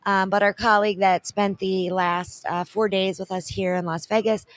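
A woman's voice: medium pitch, flat delivery, moderate pace. Upbeat woman co-hosting with a handheld mic. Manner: upbeat, promotional, lots of shout-outs. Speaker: co-host. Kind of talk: event wrap-up chat. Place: expo booth set in front of a backdrop.

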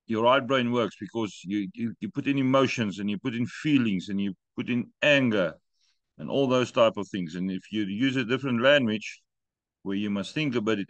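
A man's voice: on the low side, no room sound. Man hosting a video call. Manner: friendly, joking, invites others to speak. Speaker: group meeting host. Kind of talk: casual video call chat. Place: home room with stone wall. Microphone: laptop webcam video call.

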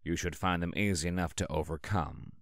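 A man's voice: low voice, fairly quick, creaky, no room sound, studio quality. An actor playing a formal, precise male assistant who hides a mischievous, mocking trickster god, in an audio drama. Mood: bland, formal.

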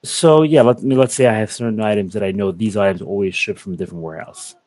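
A young man giving a methodical, step-by-step software walkthrough over a video call. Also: lowish voice, fast talker, dry recording. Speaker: software product presenter. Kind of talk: screen-share software walkthrough.

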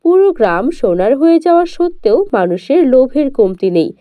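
A woman's voice: high-pitched, very animated, brisk, no room sound, muffled recording. A woman narrator reading a scripted children's fairy tale for a cartoon.

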